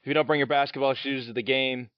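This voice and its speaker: A man's voice: medium pitch, steady tone, fast, clear, resonant tone, no room sound, lo-fi sound, close to an everyday voice. A man playing a stern basketball coach who barks orders at his players.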